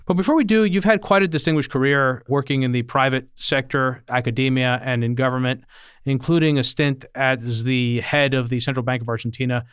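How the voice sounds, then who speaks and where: A man hosting a podcast interview, asking eager, quick questions with small restarts. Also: medium pitch, fairly bright tone, clear, resonant tone, no room sound, clean studio sound. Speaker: podcast host.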